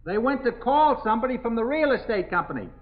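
Police captain, voice raised high. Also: male voice, sing-song, fast. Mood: reassuring. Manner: raised, projecting, reassuring. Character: patient police captain calling reassurance through a door. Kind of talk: 1950s radio drama acting. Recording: muffled 1950s radio recording.

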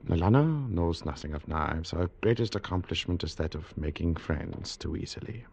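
An actor playing a menacing male plantation owner in a 1950s radio drama, with a gravelly voice. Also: deep voice, lively intonation, normal speed, darkish tone, a little hiss or hum. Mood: cold menace. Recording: dull old radio recording.

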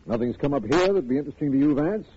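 An actor playing a brisk, hearty male attorney, friendly and official, in a 1950 radio drama. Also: on the high side, expressive, dark tone, breathy edge. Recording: noisy, dull old radio recording.

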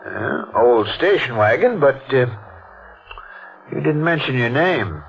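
An actor playing an obliging small-town hotel clerk in a 1940s radio drama, hesitant and folksy. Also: male voice, mid-range voice, big pitch swings, slow pace, creaky, exaggerated voice. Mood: sleepy, hesitant. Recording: dull old radio recording, faint noise.

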